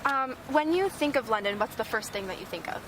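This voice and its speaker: Higher-voiced young woman interviewer. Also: animated, a little fast. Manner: hesitant, many fillers and restarts. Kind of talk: casual street interview. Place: outdoors on a busy city street. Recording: street traffic noise behind.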